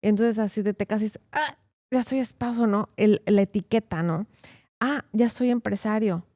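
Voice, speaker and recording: A woman's voice: medium pitch, normal speed, dark tone, no room sound, clean studio sound. A woman lawyer hosting an interview, chatty and encouraging, often tagging her sentences with "¿no?". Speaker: host, immigration lawyer. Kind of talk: casual interview conversation.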